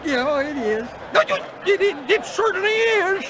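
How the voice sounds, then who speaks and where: An actor playing a bumbling, excitable, fussy sheriff with an American Southern accent and a stammering, repetitive way of talking. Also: male voice, very high voice, measured pace, crowd noise, noisy background, heavily acted. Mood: flustered. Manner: stammering, repeats himself.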